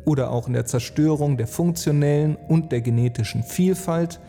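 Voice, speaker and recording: A man narrating an earnest, reflective spoken essay, phrasing deliberately and emphatically with rhetorical weight. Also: fairly high pitch, dark tone. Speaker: speaker and author on climate and sustainability. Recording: long reverb, music underneath. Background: music.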